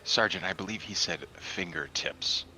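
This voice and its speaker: A man chatting as a tabletop game player in an unhurried, wry, joking way. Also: deep voice, pushed and bright, soft background music, low-quality recording. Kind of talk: casual joking table chat.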